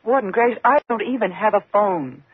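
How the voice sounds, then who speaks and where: Frightened, husky radio-thriller woman. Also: mid-range voice, lively intonation, moderate pace, no echo. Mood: haunted, dread. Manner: tense, dramatic, faltering when flustered. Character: frightened single woman haunted by a murderer. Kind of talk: 1950s radio suspense acting. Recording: muffled 1950s radio drama recording.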